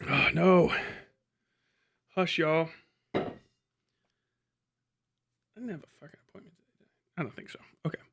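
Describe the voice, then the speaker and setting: A man's voice: fairly high pitch, normal speed, vocal fry, pauses often, a bit bright, husky. A man hosting a casual livestream, rambling bluntly with lots of profanity and muttered asides to himself and chat. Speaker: livestream host.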